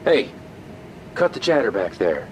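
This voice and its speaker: A man voices a sardonic billionaire leader with a fairly low voice who gives orders confidently. A clear noise bed runs behind him. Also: big pitch swings, unhurried, low-quality recording. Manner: sardonic, commanding. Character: cocky male billionaire hero leader.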